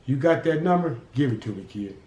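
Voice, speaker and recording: A man's voice: mid-range voice, lively intonation, a little fast, a little mellow, slight background noise, close to an everyday voice. A man voicing a hot-tempered, threatening gang boss who swears freely. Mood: menacing. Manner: threatening, profane, growling. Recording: roomy echo.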